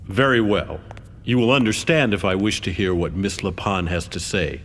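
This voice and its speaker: A man's voice: low-pitched, moderate pace, fairly bright tone. A man playing a formal, probing senior official who questions a witness with stiff politeness in a video game cutscene. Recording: reverberant hall, faint background noise.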